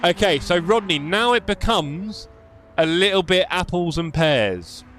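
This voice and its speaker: A man's voice: sits high, lively intonation, unhurried, a bit bright, a little hiss or hum. A man with a London accent chatting and joking with his live stream chat while playing a racing game. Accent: London English. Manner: chatty, jokey, reads chat aloud.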